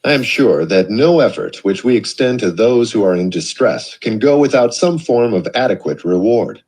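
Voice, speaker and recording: A man reading an audiobook in a measured, earnest narration. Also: lowish voice, expressive, darkish tone, husky.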